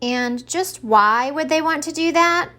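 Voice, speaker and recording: A woman's voice: high-pitched, unhurried, sharp tone, pitched above the performer's own voice. A woman voicing Santa's patient old wife in a children's storybook, coaxing and reasoning with him. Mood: firm, reasoning. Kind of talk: expressive children's storybook read-aloud.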